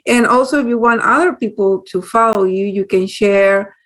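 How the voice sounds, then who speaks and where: A woman's voice: medium pitch, normal speed, fairly bright tone. A woman hosting a comedy open mic, introducing acts and making announcements.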